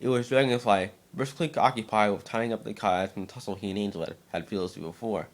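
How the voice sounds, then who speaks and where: A man with a fairly low voice narrates a storybook-style fan tale. His reading is amateur, with occasional stumbles and repeated words. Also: fairly even intonation, a little fast.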